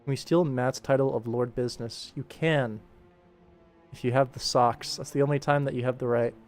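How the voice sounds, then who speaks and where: A man's voice: medium pitch, steady tone, moderate pace, darkish tone. A man chatting casually as a livestream host while he works, with a dry, tongue-in-cheek sense of humour. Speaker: livestream host, animation director. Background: music.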